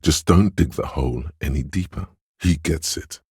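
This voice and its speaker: A sly inner voice from a video game, extremely low and thickly husky. He coaxes and insinuates in measured, breathy phrases, like a manipulator advising from inside the head. The sound is close and dry. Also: dry recording, full character voice. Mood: disapproving. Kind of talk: scripted video game voice acting.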